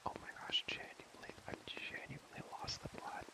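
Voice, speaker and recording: A young man whispering breathily close to the microphone in an ASMR style, repeating words and phrases over and over as he rambles playfully. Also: a little fast. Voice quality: breathy, mostly unvoiced whisper. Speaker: ASMR creator and host.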